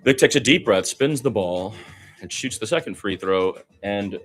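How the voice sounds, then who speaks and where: A man's voice: on the low side, expressive, moderate pace, faint music behind. A man doing live radio play-by-play of basketball, describing the action in running detail with short exclamations and asides.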